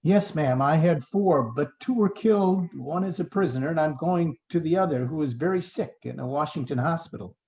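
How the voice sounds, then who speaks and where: A man's voice: fairly high pitch, normal speed, few pauses, darkish tone, highs cut off, close to an everyday voice. A man playing a poor, tired, proud old father in a radio play rehearsal, plain and folksy in his stoic speech.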